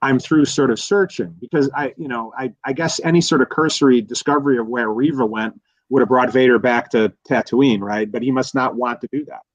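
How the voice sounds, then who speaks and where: A man in a casual analytical discussion, speaking haltingly and repeating words as he thinks aloud. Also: mid-range voice, no room sound, highs cut off. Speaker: guest expert.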